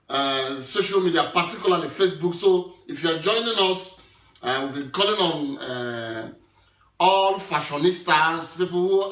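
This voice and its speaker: A man's voice: mid-range voice, sharp tone. A man hosting a casual live interview, talking with many hesitant fillers. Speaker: host. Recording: echoey room, distant mic.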